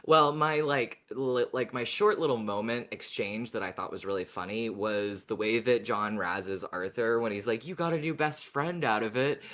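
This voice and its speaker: A man with a fairly low voice hosts a casual podcast discussion. He phrases things thoughtfully and haltingly, often circling back over a word before moving on. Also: fairly bright tone. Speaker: podcast host.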